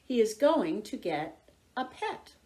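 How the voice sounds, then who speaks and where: Female children's story reader: expressive picture-book narration, slow and deliberate, highly varied intonation. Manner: expressive, deliberate, clearly phrased.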